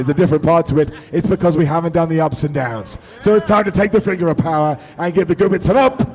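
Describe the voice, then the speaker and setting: A British man hosting a live wrestling review show, jokey and loud, hyping the audience, and a bit hoarse from losing his voice. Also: on the high side. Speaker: host. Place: large hotel event venue. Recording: in front of a noisy live crowd.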